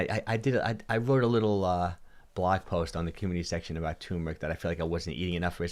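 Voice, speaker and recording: A deep-voiced man in his late fifties chats through a relaxed livestream Q&A, full of restarts and repeated words. He talks into a studio mic on a boom arm in a small home studio. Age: 50s. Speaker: fitness livestream host. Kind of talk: casual livestream Q&A. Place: home studio with purple lighting.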